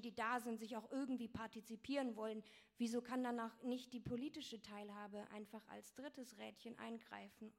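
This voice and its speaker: A young woman giving an explanatory talk with slides, fluent but with frequent fillers and restarts. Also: a little high. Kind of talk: presentation with slides.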